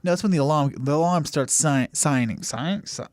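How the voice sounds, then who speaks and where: A man's voice: a little high, brisk, a little mellow, dry recording. A man acting as game master, improvising narration for a tabletop game in a conversational way.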